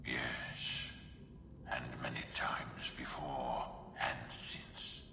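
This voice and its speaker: Casting: grave game sage, extremely low, measured and slow, hushed, echoing as in a great hall. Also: male voice, little pitch movement, vocal fry, in a whisper, heavily acted. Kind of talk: video game cutscene acting. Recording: echoey, long hall-like reverb.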